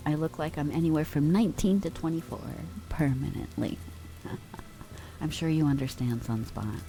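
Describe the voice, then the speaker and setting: Young woman streamer, ASMR chat: very deep and gravelly, slow and unhurried, gentle whispery asides, soft music underneath. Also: rough recording. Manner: relaxed, affectionate, reads and answers chat; drifts between soft talk and whispers.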